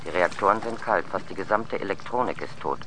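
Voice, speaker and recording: An actor in a radio drama playing a matter-of-fact, tactful space mission colleague giving a sober briefing. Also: male voice, low-pitched, fairly quick, bright, forceful tone, soft background music. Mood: sober, guarded.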